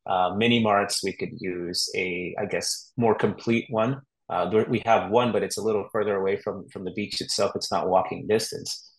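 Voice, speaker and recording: A middle-aged man from Honduras talks casually as an interview guest. His voice sits a little low, and he rambles easily, with plenty of fillers and restarts. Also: steady tone, normal speed, few pauses, low-quality recording. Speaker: real estate agent guest.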